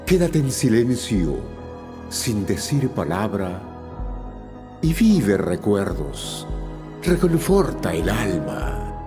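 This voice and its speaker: A man reciting poetry solemnly, in measured, dramatic phrases. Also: fairly low pitch, very animated. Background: soft new-age music.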